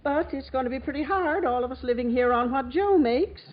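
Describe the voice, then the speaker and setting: A woman's voice: sits high, a little fast, lightly acted. An actress playing a fretful, long-suffering older mother in 1940s radio comedy, exasperated and plaintive. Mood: resigned grumbling. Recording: muffled, hissy 1940s radio recording.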